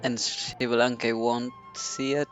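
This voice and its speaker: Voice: mid-range voice, level tone, slow. A game streamer giving casual live commentary with dry, playful reactions. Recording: muffled stream mic, game music behind.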